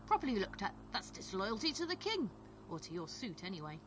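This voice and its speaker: A woman voicing a gruff, callous, pompous lord in a storybook, blunt and indignant. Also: medium pitch, sing-song, a little fast, background noise, natural voice.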